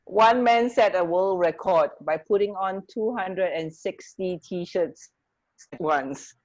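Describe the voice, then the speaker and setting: A woman's voice: lowish voice, slow, a little mellow. A woman co-hosting a radio show in a casual, informal chat style, with slightly muffled audio. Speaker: radio co-host.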